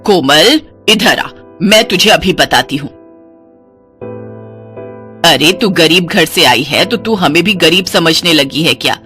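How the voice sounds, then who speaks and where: Lady doing a bossy older indian family-drama mother-in-law, scolding and snobby, music underneath. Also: fairly low pitch. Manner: scolding with sharp rhetorical questions.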